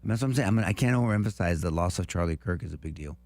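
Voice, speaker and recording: Deep-voiced male co-host, emphatic and repetitive, political talk. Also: expressive, racing, a little mellow. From Lebanese heritage. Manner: emphatic, repeats himself when giving advice. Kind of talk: political talk show chat.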